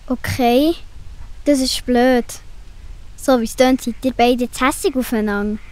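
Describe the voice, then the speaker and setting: A woman's voice: on the high side, measured pace, a little mellow, lightly acted. A woman voicing a caring, perky young schoolgirl with a Swiss German accent in a children's audio play. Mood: encouraging.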